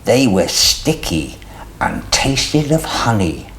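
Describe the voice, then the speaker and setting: A storyteller reading a children's picture book aloud in an expressive, deliberate way as host. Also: medium pitch, a little hiss or hum.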